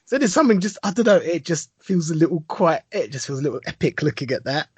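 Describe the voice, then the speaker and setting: Podcast cohost, kinda husky and highish, dry close mic, rambles and gets excited. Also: male voice, quick pace, no echo. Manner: enthusiastic, rambling, teasing. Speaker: podcast co-host. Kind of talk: casual chat.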